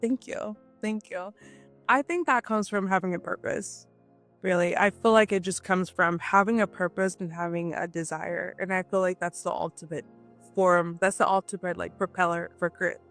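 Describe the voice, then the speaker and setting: A woman's voice: fairly low pitch, steady tone, normal speed, fairly bright tone, faint music behind. A woman from Nigeria, a guest entrepreneur, talking animatedly and emphatically in a casual interview, often checking in with "right?".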